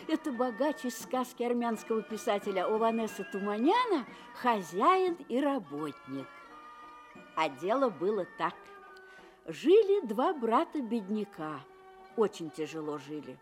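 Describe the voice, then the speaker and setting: An actress playing a kindly keeper of fairy tales, a storyteller guiding children in an inviting, theatrical, unhurried way. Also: female voice, on the high side, darkish tone. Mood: storytelling. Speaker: storyteller and guide.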